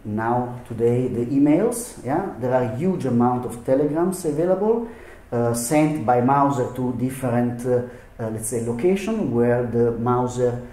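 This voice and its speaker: A man's voice: mid-range voice, expressive, measured pace, warm tone. A man presenting archive documents at a symposium, hesitant, with frequent fillers and non-native phrasing. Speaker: historian presenter. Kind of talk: conference presentation. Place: lecture hall. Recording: echoey hall.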